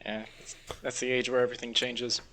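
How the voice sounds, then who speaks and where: A man in his twenties with a bright, forceful voice at a middle pitch. He hosts a podcast and talks in a loose, casual way among friends. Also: flat delivery, brisk, a little hiss or hum, poor audio. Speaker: podcast host. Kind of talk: casual group chat.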